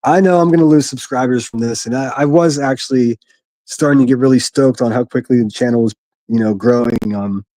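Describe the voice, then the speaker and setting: A man with a gruff voice hosting a solo livestream from his quiet living room, reading chat and speaking bluntly with frequent restarts. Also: mid-range voice, fairly quick, no room sound. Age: adult, a military veteran. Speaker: livestream host.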